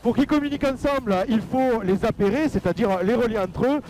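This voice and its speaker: A man's voice: very high-pitched, fairly quick, darkish tone. A man giving a casual, jokey product review while flying, talking through a helmet intercom microphone. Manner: chatty, jokey, explanatory. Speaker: paramotor pilot vlogger and presenter. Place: outdoors, flying a paramotor. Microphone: helmet intercom headset microphone with noise reduction. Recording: faint motor noise behind headset mic. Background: motor noise, muffled.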